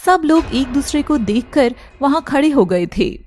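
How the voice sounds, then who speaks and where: A woman's voice: high voice, lively intonation, measured pace, darkish tone, slight background noise. A woman giving scripted narration for a cartoon story.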